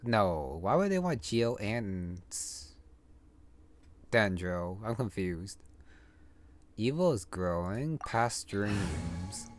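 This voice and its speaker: A man's voice: on the low side, very animated, extremely slow, pauses often. A man giving casual game commentary, musing aloud in short fragments. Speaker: gameplay streamer. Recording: loud game music behind. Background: loud game music.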